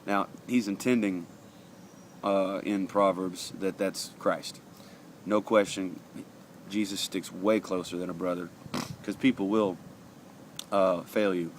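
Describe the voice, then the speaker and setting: A man with a very low voice talks through a daily devotional in an earnest, conversational way, stopping often on fillers. He sounds a little sniffly from allergies. Faint traffic hums behind him. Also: fairly even intonation, slow, pauses often. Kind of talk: casual devotional talk, reading passages aloud.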